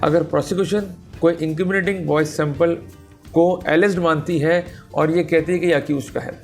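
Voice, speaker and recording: A man's voice: on the high side, steady tone, normal speed, vocal fry, faint music behind. An Indian man giving an explanatory law lecture, emphatic and direct, posing rhetorical questions and switching between two languages. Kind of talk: explanatory legal lecture mixing Hindi and English.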